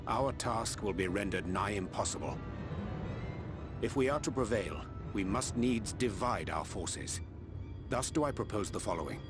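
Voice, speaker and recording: A solemn scholar of a fantasy game played by a man, with a lowish voice. He lays out plans in formal, archaic phrasing, measured and courtly. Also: lightly acted. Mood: grave. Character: solemn, scholarly male strategist speaking in archaic courtly English. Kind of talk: video game cutscene acting.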